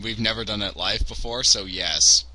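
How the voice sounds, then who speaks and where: A man's voice: lowish voice, normal speed, pushed and bright, rough recording. A man co-hosting a casual livestream podcast, joking crudely, swearing and laughing at his own jokes. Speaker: podcast co-host.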